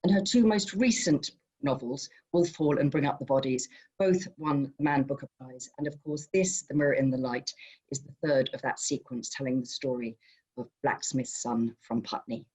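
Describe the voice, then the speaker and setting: A woman's voice: very deep voice, normal speed, a little mellow, slightly rough, low-quality recording. A woman chairing an event, giving introductions in a conversational, slightly hesitant way. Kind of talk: informal spoken introduction.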